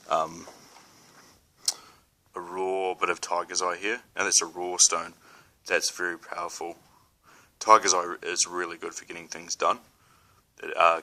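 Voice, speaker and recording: A man's voice: low voice, gravelly, pushed and bright. A young man with a New Zealand accent talks casually to camera, hesitant, with many ums and restarts. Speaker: spiritual vlogger.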